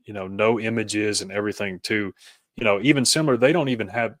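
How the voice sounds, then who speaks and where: A man speaking as an interview guest, reflective and halting as he searches for words and restarts his phrases. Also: lowish voice, no echo. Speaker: podcast guest, author.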